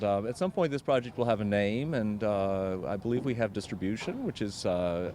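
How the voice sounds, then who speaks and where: A man giving a casual, impromptu interview, speaking in a halting, rambling way as a production designer. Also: on the low side, darkish tone, slight background noise. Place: outdoors outside a town hall.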